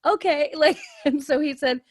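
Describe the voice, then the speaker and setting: A woman co-hosting a podcast, chatting casually in an animated, giggly way. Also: sits high, normal speed, no echo, studio quality. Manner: giggly, animated anecdote telling. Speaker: podcast co-host. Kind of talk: casual chat.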